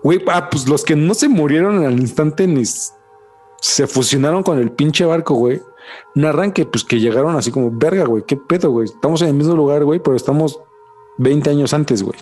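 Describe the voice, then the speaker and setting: A young Mexican man tells a story on a podcast, slangy and lively, dropping "güey" into nearly every phrase. His voice sits a little high for a man. Faint music plays under the talk. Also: fairly quick. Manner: slangy, says "güey" constantly, animated storytelling. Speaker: podcast co-host. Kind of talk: casual chat.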